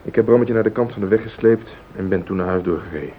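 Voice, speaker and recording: An actor playing a rattled, evasive husband in a radio play, agitated and pleading. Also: male voice, fast, close to an everyday voice. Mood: remorseful, pleading. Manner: agitated, pleading, self-justifying. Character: rattled, evasive husband hiding a car accident. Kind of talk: Dutch radio play acting. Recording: muffled phone-like old radio recording, rumbling hiss.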